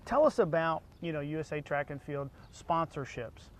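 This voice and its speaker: A man's voice: fairly high pitch, expressive, normal speed, slight background noise. An American man hosting a casual interview in an informal, chatty way. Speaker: interview show host.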